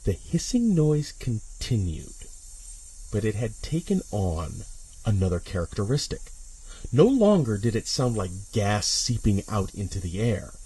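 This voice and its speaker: A man's voice: fairly low pitch, big pitch swings, breathy edge, low-quality recording. A man narrating a horror story in the first person, with a dramatic, suspenseful delivery. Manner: measured, suspenseful delivery with hushed drops and intense swells.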